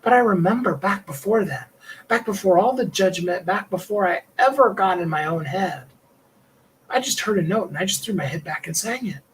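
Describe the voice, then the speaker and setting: Man, middle-aged, light tenor voice, a touch high for a man, rambling heartfelt livestream chat, faint room ambience. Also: lively intonation, normal speed, a little hiss or hum, low-quality recording. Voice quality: higher-pitched tenor voice. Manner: rambling and heartfelt, with frequent "you know" and small laughs. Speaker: livestream host and singer. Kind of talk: reflective personal livestream talk.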